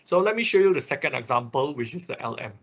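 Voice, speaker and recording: A man who speaks English as a second language, giving a live technical tutorial step by step in a halting manner, often checking with short tag words. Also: mid-range voice, animated, fairly bright tone. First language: not English. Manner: halting, often repeats and checks with okay or right. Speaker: workshop instructor. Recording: dull, lo-fi online class audio.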